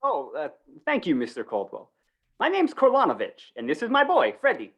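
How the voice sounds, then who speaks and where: A man's voice: sits high, huge pitch swings, normal speed, vocal fry, lo-fi sound, exaggerated voice. A man playing a meek, hesitant, apologetic father and small shopkeeper in an old-time radio comedy, polite and flustered.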